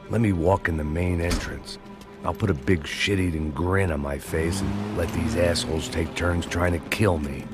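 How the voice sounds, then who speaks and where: Game noir lead: very low-voiced, world-weary American ex-cop, sardonic and deadpan, over prominent music. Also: male voice. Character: weary, self-loathing, hard-bitten middle-aged ex-cop antihero. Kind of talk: scripted noir voice-over narration. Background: loud music.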